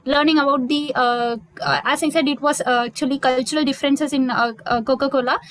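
A woman with a high voice speaks on a video call. She answers formally and haltingly, with frequent pauses and fillers. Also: steady tone. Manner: hesitant, many "uh" fillers, formal phrasing. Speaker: student panelist.

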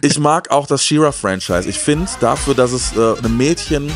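A man's voice: medium pitch, lively intonation, normal speed, soft background music. A man, hosting a casual, enthusiastic fan chat and repeating words for emphasis. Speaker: host of a retro TV talk show. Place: small indoor room with shelves of media. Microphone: handheld microphone.

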